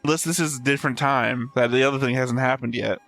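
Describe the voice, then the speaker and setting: A man's voice: mid-range voice, steady tone, fast, gravelly, fairly bright tone, faint music behind. A man co-hosting a reaction show, chatting casually about a series in an argumentative, wryly joking way. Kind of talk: casual discussion of an animated series.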